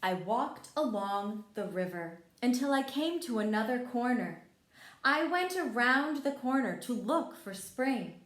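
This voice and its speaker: A woman voicing a friendly, gentle male frog telling a children's storybook tale in an expressive, reassuring way. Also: fairly high pitch, unhurried, pitched above the performer's own voice. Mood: wistful storytelling. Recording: echoey room.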